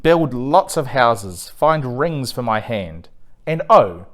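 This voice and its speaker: A man acts out a restless young king from a children's storybook, greedy and full of himself. He speaks in emphatic, grandiose demands, with pitch that swings about. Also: big pitch swings, slow, fairly bright tone. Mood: demanding, excited.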